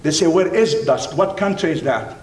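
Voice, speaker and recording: Male imam, high register, Arabic accent, deliberate emphatic oratory, heard from off-mic with slight noise. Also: animated. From Middle East, Palestinian Jordanian. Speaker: imam and university teacher speaking at a dinner. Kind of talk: inspirational religious speech. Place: large dinner banquet room. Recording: roomy, distant mic, faint rumbling noise.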